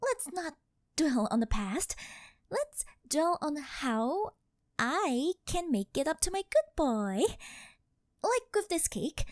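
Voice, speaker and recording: A woman playing a rich, possessive older girlfriend who dotes and coaxes in a sugary, drawn-out way, in an ASMR roleplay. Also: high voice, no room sound, clean studio sound, heavily acted. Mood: coaxing, proud. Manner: coaxing, sugary, drawn-out pet-name delivery.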